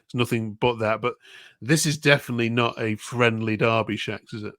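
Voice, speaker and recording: A man's voice: mid-range voice, steady tone, a little fast, a bit bright, dry recording, studio quality. A man hosting a football fan podcast in a laid-back manner. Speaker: podcast host.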